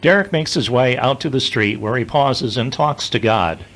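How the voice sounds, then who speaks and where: A man's voice: medium pitch, expressive, normal speed, a bit bright. A man narrating an audio drama from a script in the third person.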